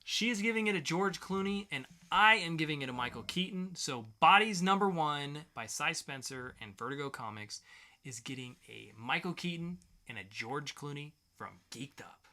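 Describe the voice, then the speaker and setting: A man's voice: on the high side, expressive, moderate pace, bright, forceful tone. A man hosting a podcast segment in a casual, conversational style, sometimes swearing. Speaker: podcast host.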